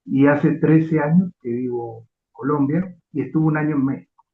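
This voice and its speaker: A man's voice: a little high, moderate pace. A man from Argentina with an Argentine accent, a guest musician giving brief, agreeable answers in an interview. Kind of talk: interview answers over a call. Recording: tinny phone-like line.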